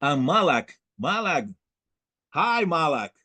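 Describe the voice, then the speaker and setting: A man who speaks English as a second language, lecturing on a video call in a halting way, searching for words and repeating phrases for emphasis. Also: on the high side, very animated, sharp tone. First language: not English. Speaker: lecturer leading an online class.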